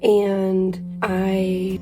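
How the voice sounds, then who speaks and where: A woman's voice: medium pitch, monotone, very slow. A woman in her twenties chatting casually as a lifestyle vlogger, her voice still a little worn from being sick, with lots of "like" fillers. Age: 20s. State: recovering voice after illness. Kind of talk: casual vlog chat. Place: bedroom at home. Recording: distant mic with guitar music behind.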